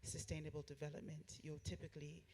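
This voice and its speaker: A woman speaking as a panelist in a discussion. She reasons out loud in a reflective, thoughtful way and poses rhetorical questions. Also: deep voice, level tone, quick pace, slight background noise.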